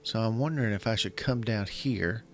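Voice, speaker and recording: A man with a slightly scratchy voice, casually commenting while he plays a strategy game and thinking aloud in halting, self-correcting phrases. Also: lowish voice. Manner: thinks aloud, halting, restarts mid-sentence. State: slightly scratchy voice from illness and yelling. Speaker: gaming channel host. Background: faint game music.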